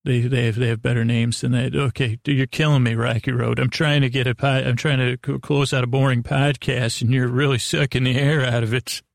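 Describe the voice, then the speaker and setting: Man, podcast host, fry-heavy voice, dry sound, meandering, stammering, absurd improvised rambling, mock-earnest. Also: medium pitch, flat delivery, no echo. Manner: meandering, halting, stammering, trails off and restarts. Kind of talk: rambling improvised monologue addressed to an imaginary guest.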